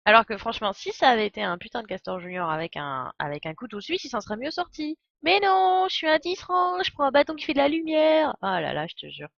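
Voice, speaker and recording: A woman's voice: a little high, big pitch swings, quick pace, gravelly, hardly any pauses, fairly bright tone, no echo. A young woman giving casual live game commentary as a streamer, sardonic and slangy. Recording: slightly dull, dry microphone sound.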